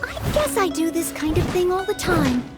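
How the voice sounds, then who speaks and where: A woman's voice: extremely high pitch, big pitch swings, moderate pace. An actress playing an earnest, helpful young female knight in a video game, polite, sincere and dutiful. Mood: unsure, modest. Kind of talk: English video game voice acting. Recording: music behind the voice.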